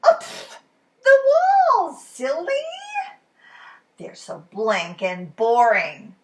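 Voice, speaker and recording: A woman's voice: on the high side, wildly swooping pitch, drawn-out words, full character voice. A woman voicing a big, boisterous, smug and childlike imaginary friend in a storybook, with bellowing, exclamatory delivery. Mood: excited, dramatic. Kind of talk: storybook character voice.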